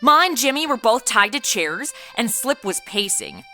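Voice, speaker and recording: Woman playing a bright, flippant party girl. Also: on the high side, big pitch swings, normal speed, soft background music, heavily acted. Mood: gossipy narration. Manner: slangy, sarcastic, blasé. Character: flippant, sarcastic modern party girl in the Gold Rush. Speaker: lead character and narrator. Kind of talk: comedy audio drama acting and narration.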